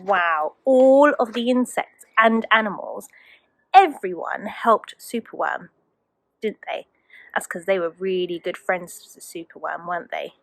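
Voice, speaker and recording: A woman's voice: mid-range voice, unhurried, creaky, dry recording. A woman, a teacher, reading a children's storybook aloud expressively to young children.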